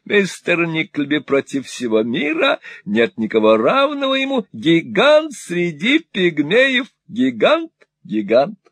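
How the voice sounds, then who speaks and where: A man's voice: fairly high pitch, huge pitch swings, slow pace, fairly bright tone, dry recording, pitched above the performer's own voice, exaggerated voice. A man voicing a fawning old male moneylender who cackles and gushes with wheedling exclamations in an audiobook reading. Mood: gushing.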